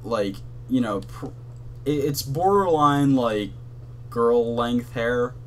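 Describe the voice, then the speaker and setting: A young man with a monotone voice, rambling casually with lots of fillers and swearing as the host of a gaming channel, talking from his bedroom. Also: medium pitch, slow pace, a little hiss or hum. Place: hot bedroom at his console.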